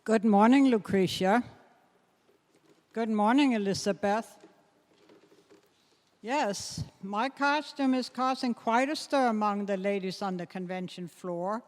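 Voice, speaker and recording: A woman in a readers theater playing a practical, outspoken 1840s dress reformer in measured, emphatic tones. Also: mid-range voice, in a large hall, lightly acted. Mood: self-assured. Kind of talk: staged readers theater performance.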